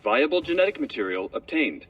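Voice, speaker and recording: Husky, impassive computer announcer. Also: male voice, a little high, very animated, brisk, recorded from a distance, dull audio. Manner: clipped, procedural. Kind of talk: scripted system announcement.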